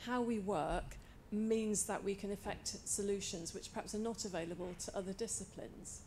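Woman academic, measured and articulate, vocal fry throughout, faint room noise. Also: medium pitch, warm tone. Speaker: academic audience member. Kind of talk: panel discussion remarks. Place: lecture hall, in the audience.

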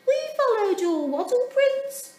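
A woman voicing eager little penguin siblings who plead to be let in, squeaky and hopeful. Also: big pitch swings, measured pace, a little mellow, roomy sound, full character voice.

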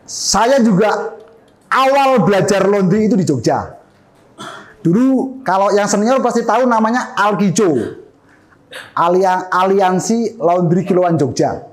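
A middle-aged man giving an energetic business seminar talk into a handheld microphone in a meeting hall, gesturing and questioning his audience. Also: high-pitched, unhurried, long pauses. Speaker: seminar speaker.

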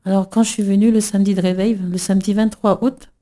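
A middle-aged woman from New Caledonia giving an emotional religious testimony, halting with many fillers and restarts. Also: mid-range voice, level tone, dark tone. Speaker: church member giving testimony.

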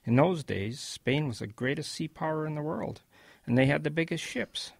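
An actor playing a kindly old grandfather with a creaky, husky voice, telling wartime memories gently and with animation in a radio drama. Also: a little high, animated, moderate pace, no room sound, studio quality. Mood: animated reminiscing.

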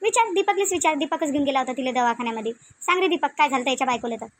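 A high-pitched cartoon matriarch played by a woman with a Varhadi Marathi accent. She is proud, nagging and quick with sarcasm. Every remark comes out like a scolding from the head of a village household. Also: close to an everyday voice. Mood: dismissive. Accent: Varhadi Marathi of Vidarbha. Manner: sharp-tongued, nagging, sarcastic. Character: proud, scolding, sarcastic middle-aged mother-in-law. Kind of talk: comic village family cartoon dialogue.

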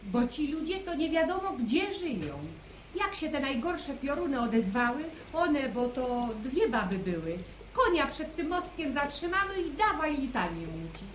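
An actress playing a plain-spoken, unafraid young village woman in an old radio drama, telling her tale in a brisk, indignant way. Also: high-pitched, big pitch swings, fairly bright tone, reverberant, a little hiss or hum, poor audio. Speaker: young woman caught in a storm. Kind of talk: 1970s radio drama acting.

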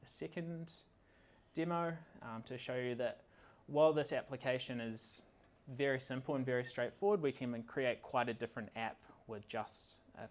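A man presenting an informal technical demo at an indoor meetup, speaking casually and a little haltingly as he works through the software. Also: mid-range voice. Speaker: conference speaker, software consultant. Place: indoor meetup venue with large windows and projector screen.

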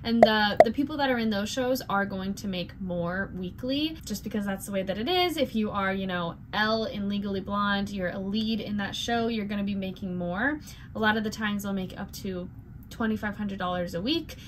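Chatty young woman vlogging from a cabin. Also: medium pitch. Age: 20s. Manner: chatty, with fillers and quick asides. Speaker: cruise ship singer and dancer. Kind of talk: casual vlog explainer. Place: small cabin bedroom with string lights. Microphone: camera in front at desk distance. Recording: noisy room with ambient hum.

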